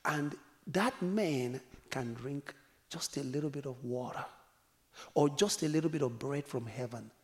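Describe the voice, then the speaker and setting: A man from Africa preaching a sermon, dropping to whispers and rising to loud exclamations, laughing as he tells a story. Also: mid-range voice, measured pace. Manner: laughs while telling a story.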